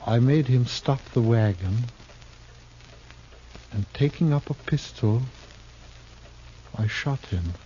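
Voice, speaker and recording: A muffled, noisy old radio Western recording carries a cultured traveling player on the run after a killing. He is despairing and theatrical, speaking very slowly and haltingly, as if reciting tragic verse. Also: mid-range voice, expressive, warm tone. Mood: haunted confession. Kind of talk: 1950s radio Western acting.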